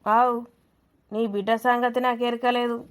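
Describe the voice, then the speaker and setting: A stubborn, boastful old village grandmother with a Telangana accent, acted by a woman, from a cartoon comedy. Her voice is clear and fairly high, and it stays on an even, steady line as she defends her own. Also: moderate pace, close to an everyday voice.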